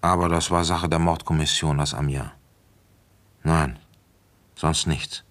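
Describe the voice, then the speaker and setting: Low-voiced, matter-of-fact police commissioner. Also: male voice, fairly even intonation, measured pace, fairly bright tone. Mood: sombre, reflective. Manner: authoritative, matter-of-fact, clipped reflective phrases. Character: male police commissioner, weary, brisk, narrating his case. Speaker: detective and first-person narrator. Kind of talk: radio play acting with narration.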